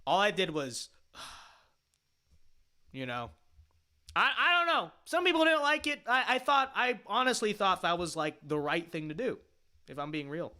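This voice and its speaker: A man's voice: high-pitched, sing-song, moderate pace, pauses often, bright, forceful tone. A man streaming a reaction to his own panel debate, rambling and opinionated, with lots of fillers and restarts. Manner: emphatic and dismissive. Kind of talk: livestream reaction commentary.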